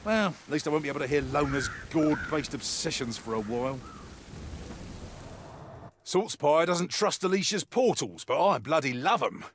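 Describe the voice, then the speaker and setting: Man acting a sardonic veteran soldier, fairly high male voice, lively swooping pitch, grumbling game briefing. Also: moderate pace, a little hiss or hum, close to an everyday voice. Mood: rueful, game. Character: gruff, wry, world-weary male mercenary soldier. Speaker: video game character voice actor. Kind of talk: scripted in-character mission briefing monologue.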